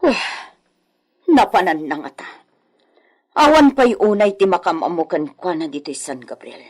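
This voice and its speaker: A woman's voice: lowish voice, very animated, moderate pace, a little mellow. An actress playing an inquisitive village woman in an Ilocano radio comedy drama. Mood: curious.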